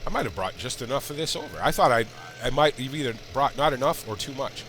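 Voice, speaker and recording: A man's voice: mid-range voice, expressive, fast talker. A man with a congested, sick-sounding voice, chatting in a rambling way while he plays and comments on a farming game. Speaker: gaming channel host. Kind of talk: casual let's-play commentary. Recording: loud game engine noise underneath.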